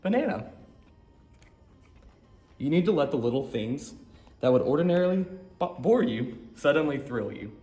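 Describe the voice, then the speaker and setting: A man's voice: on the high side, very animated, moderate pace, long pauses, mellow. A man reading a picture book aloud for a story time, alternating single words with short sayings. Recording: echoey room with music.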